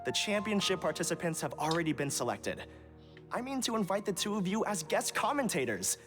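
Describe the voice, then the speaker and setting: A man's voice: high voice, big pitch swings, brisk, fairly bright tone, faint music behind. A man playing a polite, earnest academy official in an anime video game, speaking formally and courteously.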